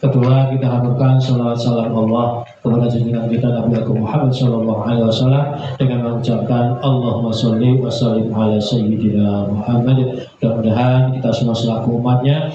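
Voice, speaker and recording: A man's voice: mid-range voice, level tone, a little fast. Echoey male preacher. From Indonesia. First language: regional Indonesian village language. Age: 40s. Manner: folksy, humble, joking, chatty with listeners. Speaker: Islamic preacher. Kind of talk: informal religious sermon to a congregation. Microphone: public address microphone. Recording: very echoey, muffled, noisy PA recording.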